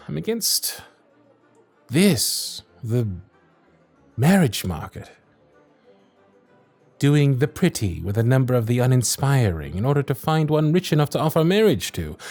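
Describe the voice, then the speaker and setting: A proud young Victorian lord in an ASMR audio drama, speaking in formal, sardonic period phrases, as a man acts him out. His voice is husky and lively in pitch. Faint music plays behind him. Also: no echo.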